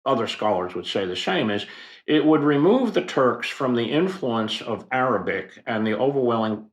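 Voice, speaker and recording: An older man explaining history as a podcast guest, in a professorial, unhurried way with fillers and asides. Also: mid-range voice, lively intonation, roomy sound, low-quality recording. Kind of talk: expert explanation in a podcast interview.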